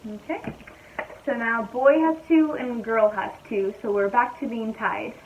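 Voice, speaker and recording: Slightly raspy, chatty young woman. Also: a little high, a little slow, muffled recording, a little hiss or hum. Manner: chatty, easygoing, thinks aloud with fillers. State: hoarse. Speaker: vlogger. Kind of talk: casual chat to camera. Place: at home, indoors.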